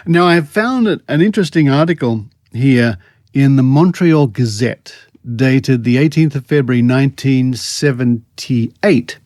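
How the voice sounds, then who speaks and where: A man's voice: medium pitch, a little slow, a little mellow, no echo, studio quality. An Australian man hosting a podcast in a casual, wryly amused, conversational way. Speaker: podcast host. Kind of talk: casual chat about psychics and scepticism.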